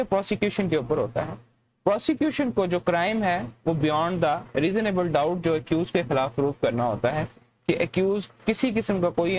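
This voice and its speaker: A woman's voice: lowish voice, darkish tone, slight background noise, rough recording. A woman with a Pakistani accent giving an explanatory law lecture in a didactic way, mixing in English terms and often answering her own questions. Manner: didactic, repeats points and poses questions then answers them.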